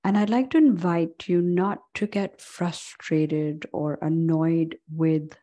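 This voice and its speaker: Female meditation guide, low-pitched and very slow, gentle, leaving long pauses. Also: fairly even intonation. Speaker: yoga and meditation instructor.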